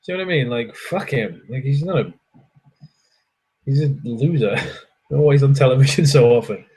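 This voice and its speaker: A man's voice: medium pitch, normal speed, a little mellow, slightly rough, rough recording. A young man, chatting casually with viewers on a stream, ranting and swearing with frequent laughs. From England.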